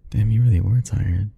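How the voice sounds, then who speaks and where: A young man softly speaking a tender, hesitant ASMR roleplay, musing aloud. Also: on the low side, creaky, husky, lo-fi sound. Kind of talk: soft-spoken ASMR roleplay.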